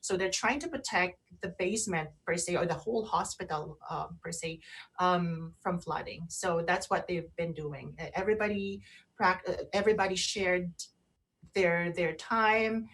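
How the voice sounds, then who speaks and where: A woman co-hosting a casual radio chat, speaking haltingly and often repeating and rephrasing herself. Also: on the low side, fairly bright tone, poor audio. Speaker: radio show co-host. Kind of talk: casual talk show chat.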